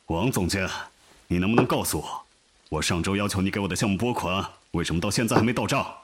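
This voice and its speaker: An actor playing a domineering, demanding general manager in an audio drama, with a blunt, overbearing delivery. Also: male voice, low-pitched, quick pace, noisy background, lightly acted. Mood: demanding, irritated. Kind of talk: Mandarin audio drama acting.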